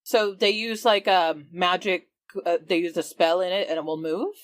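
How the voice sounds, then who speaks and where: A woman's voice: on the low side, fairly even intonation, normal speed. Polite woman playing a tabletop game. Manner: polite, appreciative. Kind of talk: in-character improvised conversation.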